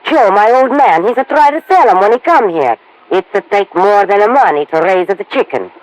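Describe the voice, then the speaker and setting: An animated, suspicious Italian farm wife, played by a woman in a vintage radio drama. She talks bluntly in accented, broken English, with lots of emphasis and big swings of pitch. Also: moderate pace, muffled recording, close to an everyday voice. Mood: blunt, grumbling. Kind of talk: 1950s American radio drama acting. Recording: old radio broadcast recording.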